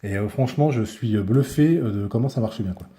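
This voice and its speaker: Guy with a lowish soft mellow voice doing a casual gear tutorial, kinda hesitant, hunting for words. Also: fairly quick. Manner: informal, colloquial, hesitant searching for words. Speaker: product reviewer and motorcyclist. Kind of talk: casual hands-on tutorial and review.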